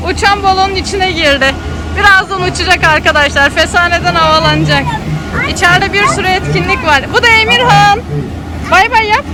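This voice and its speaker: A woman's voice: extremely high pitch, a little fast. A woman giving casual, chatty vlog commentary and encouraging children along. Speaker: family vlogger and mother narrating an outing. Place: children's festival at an event venue. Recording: loud music and crowd noise behind. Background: music, busy festival crowd.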